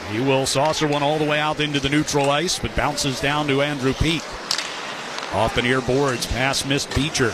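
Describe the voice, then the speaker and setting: Man calling live hockey over loud noise. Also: on the high side. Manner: clipped phrases following the action. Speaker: hockey play-by-play commentator. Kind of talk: live radio sports play-by-play commentary. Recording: noisy live arena broadcast. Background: loud arena noise.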